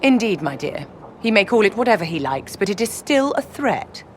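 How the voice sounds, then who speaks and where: A woman's voice: mid-range voice, very animated, moderate pace, slight background noise, close to an everyday voice. An actress playing a haughty, imperious noblewoman mage in a video game, dismissive and disdainful. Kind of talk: dramatic video game acting.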